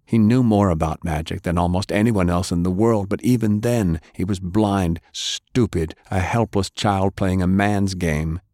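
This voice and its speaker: Male narrator, low-pitched, grave dramatic storytelling, very dry studio sound. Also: measured pace, few pauses, mellow, no echo. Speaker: audiobook narrator. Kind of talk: dramatic scripted fiction narration.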